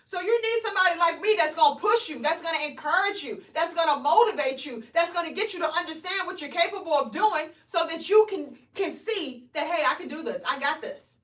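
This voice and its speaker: A woman, coaching weight loss on a live stream in an energetic, preachy way, often asking "right?". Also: very high voice, fairly quick, hardly any pauses, echoey room, recorded from a distance. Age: 40s. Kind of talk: live stream motivational talk.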